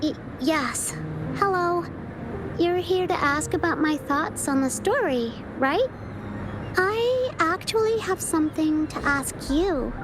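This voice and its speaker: A woman's voice: very high voice, animated, slow pace, noisy background, heavily acted. A woman voicing a shy, wistful young girl in a video game, speaking in a hesitant, timid manner.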